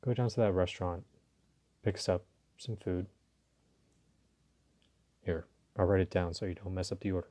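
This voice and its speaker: Deep-voiced, courteous mafia boss, played by a man. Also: steady tone, mellow. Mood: calm, probing. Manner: polite, unhurried, teasing, hesitant phrasing. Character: smooth, courteous, flirtatious male mafia boss, dominant. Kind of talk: intimate audio roleplay addressed to the listener.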